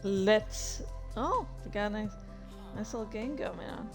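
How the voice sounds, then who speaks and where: A woman streamer chatting casually about her own writing in a halting, rambling, self-deprecating way. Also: medium pitch, animated, music in the background. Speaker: streamer and fan fiction author. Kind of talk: casual livestream chat.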